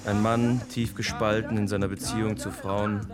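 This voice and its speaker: Male presenter for a serious radio introduction: lowish, little inflection, formal, over prominent music. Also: little pitch movement, moderate pace. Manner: solemn, formal, emphatic. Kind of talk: scripted radio commentary.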